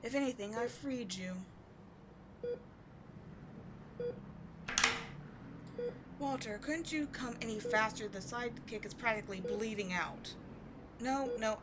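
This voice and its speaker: A woman's voice: medium pitch, lightly acted. Woman acting a biting female supervillain, noisy recording. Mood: stern, exasperated. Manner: biting, contemptuous, commanding, with sighs. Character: sardonic female supervillain tending a wounded captive sidekick. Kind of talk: scripted audio roleplay acting.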